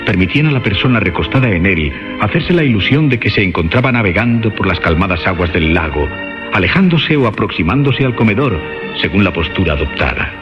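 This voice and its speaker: A man narrating a suspense radio play in a measured, literary, dramatic style. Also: fairly low pitch, lively intonation. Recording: dull band-limited radio sound with music. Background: clear music.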